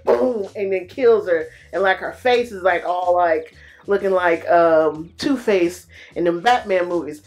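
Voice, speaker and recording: Animated, slangy woman recapping a movie. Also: lowish voice, unhurried. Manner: animated, slangy storytelling with playful exclamations. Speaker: movie reviewer.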